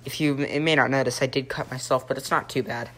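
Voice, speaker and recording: A teenage boy hosting a joking homemade cooking show in a home kitchen, rambling deadpan through mock instructions with plenty of ums, filmed on a phone by a friend. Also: mid-range voice, quick pace. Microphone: phone camera held by a friend. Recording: noisy home phone video.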